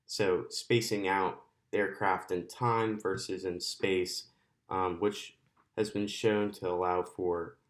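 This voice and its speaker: A man with a fairly low voice walks through an explanatory presentation. He speaks as a student presenter, with halting phrases and pauses in the middle of sentences.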